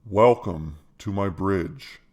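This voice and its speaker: A man voicing a menacing undead horseman who guards a bridge in a fantasy tale, with an inhumanly deep, ominous, drawn-out delivery. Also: background noise. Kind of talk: fantasy storytelling character voice.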